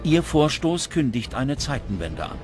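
Guy narrating a documentary, mid-pitched voice, music behind him. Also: very animated, unhurried. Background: background music.

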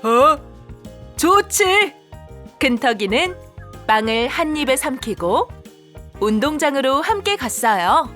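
A woman narrating a scripted storybook for young children in an animated, expressive manner. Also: sits high, unhurried. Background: children's background music.

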